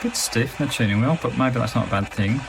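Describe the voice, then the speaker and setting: A man's voice: mid-range voice, fast, vocal fry, background noise. A man giving a casual, chatty and matter-of-fact hands-on review of a gadget. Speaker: product reviewer.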